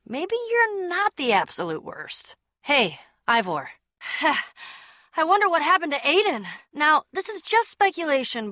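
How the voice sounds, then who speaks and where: Feisty, sarcastic young female game hero, bright and high, casual teasing delivery, dry close sound. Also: dry recording, exaggerated voice. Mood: casual, friendly. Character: Petra, feisty, sarcastic, brave young woman adventurer. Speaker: video game voice actor. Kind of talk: animated game dialogue.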